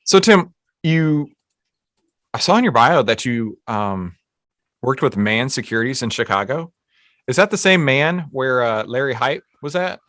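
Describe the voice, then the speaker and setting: A man's voice: mid-range voice, animated, fairly bright tone, no echo, studio quality. A man from Texas with a Texan accent, hosting an interview and asking friendly questions. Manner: friendly, quick questions with small repeats. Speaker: host.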